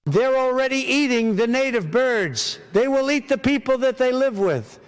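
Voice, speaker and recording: A man's voice: extremely high pitch, lively intonation, normal speed, clear, resonant tone. Man acting a pompous, boastful cat politician. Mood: alarmist. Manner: pompous, repetitive political oratory. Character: boastful, paranoid male cat demagogue giving political speeches. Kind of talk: satirical speech in an audio story.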